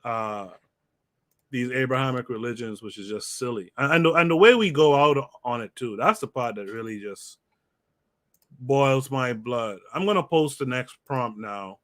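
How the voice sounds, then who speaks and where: A man hosting a podcast livestream, reading out viewer comments and calling on guests in a relaxed way. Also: medium pitch, normal speed. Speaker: podcast host. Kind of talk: moderating a livestream discussion.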